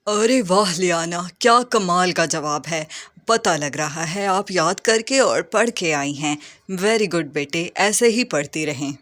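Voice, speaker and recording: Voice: mid-range voice, animated, slow, fairly bright tone, dry recording. An actor playing a kindly, encouraging male schoolteacher in a cartoon story, with an instructive manner. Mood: pleased.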